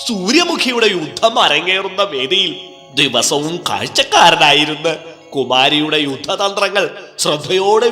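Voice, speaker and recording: A man's voice: high voice, bright, forceful tone, exaggerated voice. A man voicing a mischievous male vetala spirit from a banyan tree, teasing and theatrical, with cackling laughs. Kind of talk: dubbed cartoon storytelling. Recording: echoey reverb with music under.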